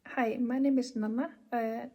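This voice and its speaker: A woman who works in university HR introduces herself softly and hesitantly. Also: on the high side, steady tone, moderate pace, slight background noise. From Iceland. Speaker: university HR staff. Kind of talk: self-introduction video.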